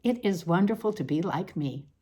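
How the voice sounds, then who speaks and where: Low-pitched woman reading with declamatory emphasis. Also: animated, moderate pace, dark tone, slightly rough. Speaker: reader of a literary essay. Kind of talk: scripted dramatic reading of a literary parody.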